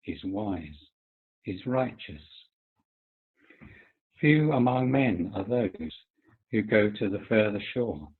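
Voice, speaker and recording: A low-pitched, husky man reads scripture aloud very slowly, setting down each phrase with deliberation. The sound is thin and phone-like, coming over a video call. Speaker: reader, member of a Buddhist community. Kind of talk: reading scripture aloud, measured recitation. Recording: tinny, muffled video-call line.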